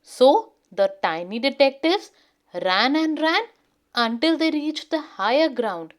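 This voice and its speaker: A woman reading a children's storybook aloud in an expressive, deliberate storytelling manner. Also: on the high side. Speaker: children's book reader.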